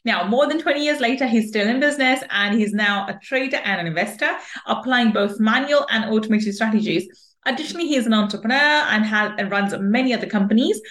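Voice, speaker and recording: A woman's voice: fairly high pitch, fast, fairly bright tone. A woman hosting an interview podcast in an effusive, emphatic way. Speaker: podcast host.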